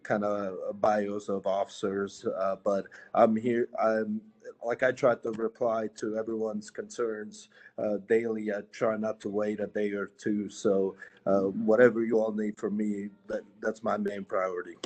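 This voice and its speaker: A man from Bosnia, a police sergeant, introducing himself at a virtual community meeting with many halting fillers. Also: lowish voice, flat delivery, a little mellow, rough recording.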